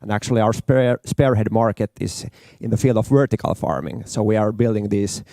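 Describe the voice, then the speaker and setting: A man, a startup co-founder on a panel, relaxed and repeating words as he talks. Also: lowish voice, brisk, vocal fry, dry recording, studio quality. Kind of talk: panel talk. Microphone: handheld.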